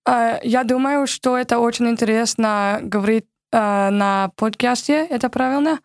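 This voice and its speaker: A woman's voice: fairly high pitch, normal speed, dry recording, clean studio sound. An American woman with English as her first language, answering interview questions in careful learner's Russian and in fluent English. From United States. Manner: speaks careful learner's Russian, laughs easily. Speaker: guest.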